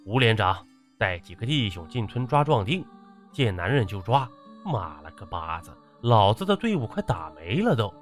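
A man's voice: on the low side, sing-song, moderate pace, faint music behind. A man voicing a short-tempered, lecherous battalion commander in an audiobook, swearing and barking his orders. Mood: gruff, commanding. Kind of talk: audiobook character acting.

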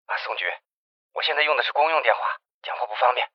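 A man doing a hushed detective on a muffled phone line. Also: mid-range voice, slightly rough, no echo, voice pushed up, lightly acted. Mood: hushed urgent. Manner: hurried, hushed. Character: male detective reporting by payphone, urgent.

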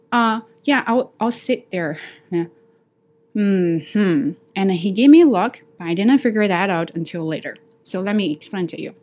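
Lower-voiced woman. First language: Chinese. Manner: animated, acts out both sides of dialogues. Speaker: vlogger sharing language-learning stories. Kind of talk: casual storytelling to camera.

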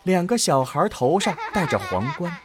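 A man's voice: a little high, sing-song, normal speed, noisy background. A man narrating a scripted science fiction audio drama in a dramatic storytelling style.

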